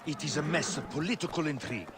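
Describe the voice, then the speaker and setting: A man's voice: on the high side, fast, very noisy, natural voice. A man voicing a shrewd, conspiratorial ally in a video game cutscene, speaking with theatrical emphasis. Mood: confiding. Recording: crowd and ambient sounds behind voice.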